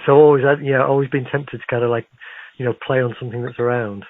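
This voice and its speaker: A man's voice: medium pitch, fairly even intonation, quick pace, no echo. A man hosting a conversational radio interview in an encouraging, affirming manner. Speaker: radio interviewer, show host. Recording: narrow phone-like radio sound.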